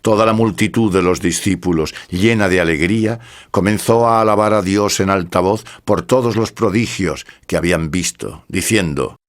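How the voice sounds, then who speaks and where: Low male narrator reading bible text, solemn, measured delivery, scripted.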